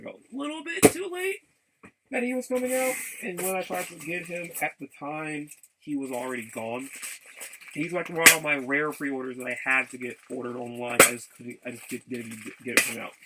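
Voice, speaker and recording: A man rambling casually as a vlogger, enthusiastic about his hobbies, often halting, repeating words and backtracking. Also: mid-range voice, very animated, heavy background noise. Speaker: vlogger showing game and figure pickups. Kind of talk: casual rambling vlog chat.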